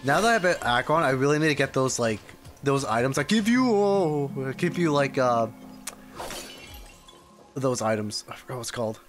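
A young man chats to his live stream while playing a game. His delivery is lively and rambling, jumping around in pitch, with faint game music behind him. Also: normal speed. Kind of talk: casual live gameplay reaction. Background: video game music.

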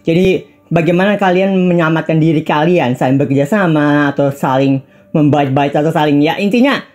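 A man's voice: a little high, quick pace, darkish tone. A young man with an Indonesian accent doing casual, slangy game commentary and mixing in English phrases. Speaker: gaming YouTuber.

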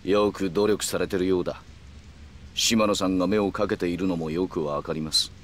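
Male actor as a cool, courteous gangster for a crime game: very low register, measured and formal, some ambient noise behind. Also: fairly even intonation, close to an everyday voice. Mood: coolly polite. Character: calm, calculating yakuza man, coolly polite. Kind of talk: video game cutscene acting.

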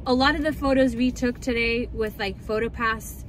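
A woman's voice: on the high side, steady tone, moderate pace, a little hiss or hum, poor audio. A young woman vlogger chatting and ranting casually in a car, full of likes and ums. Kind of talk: casual chat.

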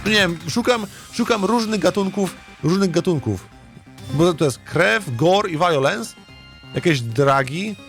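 A man's voice: high voice, expressive, unhurried, fairly bright tone, faint music behind. A man chatting casually as a game streamer, thinking aloud in a hesitant, rambling way and often repeating his words. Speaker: streamer, let's play host.

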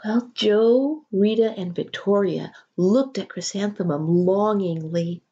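A middle-aged woman reading a children's picture book aloud expressively, with deliberate emphasis. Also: medium pitch, mellow, breathy edge, lo-fi sound. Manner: deliberate, drawn-out emphasis, pausing to ask listeners questions. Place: small home room, indoors.

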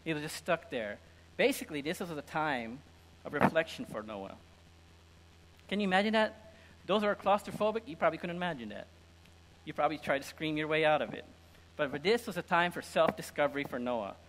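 A middle-aged man with a fairly high voice preaches in a reverberant hall. His delivery is informal and animated, a conversational sermon that slips into acted-out dialogue and humour. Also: a little fast. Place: indoor church stage with lectern and screen.

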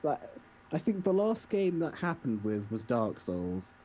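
A man's voice: medium pitch, very animated, a little slow, a crowd in the background. A man in a casual debate as a podcast co-host, teasing and blunt.

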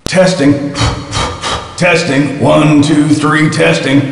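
An actor playing a male theater worker testing a microphone in a video game, speaking deliberately and haltingly. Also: fairly high pitch, fairly even intonation, vocal fry. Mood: tentative. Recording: echoey PA with long reverb.

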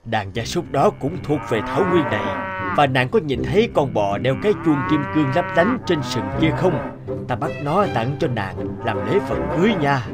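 A man's voice: mid-range voice, very animated, normal speed, natural voice. A man voicing a patient, courteous suitor and king in a Vietnamese cartoon, speaking in formal phrases. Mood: generous, wooing. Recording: background music under the voice.

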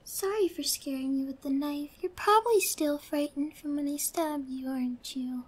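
A woman playing an obsessed, possessive yandere girlfriend in an ASMR roleplay, often dropping into a breathy whisper. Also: high-pitched, normal speed, lightly acted. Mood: unsettlingly sweet.

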